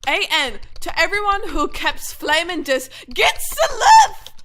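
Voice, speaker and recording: A woman's voice: extremely high pitch, huge pitch swings, unhurried, fairly bright tone, exaggerated voice. A woman voicing a bratty, defensive teenage goth author, petulantly reading out internet slang in a comic note. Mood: indignant.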